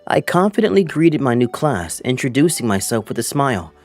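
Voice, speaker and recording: A man's voice: mid-range voice, big pitch swings, fairly quick, darkish tone, soft background music. A man voicing an earnest twenty-five-year-old male teacher who narrates his own story and acts out his lines in a manga dub. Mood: hopeful, earnest. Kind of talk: first-person manga dub narration with acted dialogue.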